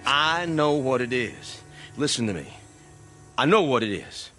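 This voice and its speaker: Flustered old country doctor. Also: male voice, medium pitch, big pitch swings, measured pace, pushed and bright, soft background music. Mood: self-important. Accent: rural American. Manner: hesitant, repeating himself. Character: flustered older country doctor, defensive and self-important. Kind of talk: radio drama acting.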